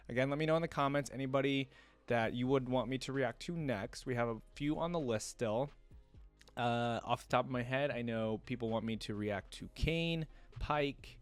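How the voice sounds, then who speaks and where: A man's voice: mid-range voice, smooth, dry recording. Casual male reaction host over music. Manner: informal, thinking aloud. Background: game music.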